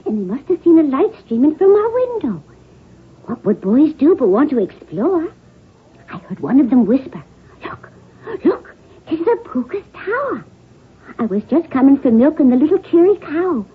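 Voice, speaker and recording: A playful young Irish noblewoman with a high, lilting voice that leaps about theatrically. She is bold and impish, heard through the thin, tinny sound of a 1970s radio drama. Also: sing-song, normal speed. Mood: playful, mischievous. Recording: tinny band-limited 1970s radio broadcast.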